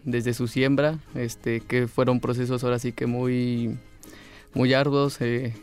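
A young man, a student guest, speaking hesitantly with many pauses and fillers. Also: mid-range voice, little pitch movement, darkish tone, soft background music.

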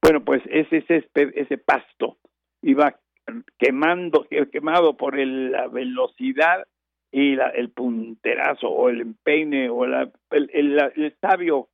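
Gravelly older man on a tinny radio line. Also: mid-range voice, fairly even intonation, slow pace, no echo. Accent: Mexican Spanish. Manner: digressive, many fillers and restarts. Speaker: radio host. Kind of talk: rambling radio chat and reminiscence. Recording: tinny phone-line broadcast.

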